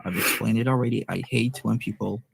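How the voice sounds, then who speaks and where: A man's voice: fairly low pitch, steady tone, brisk, creaky, slightly rough, poor audio. A man on a live stream, defensively explaining himself.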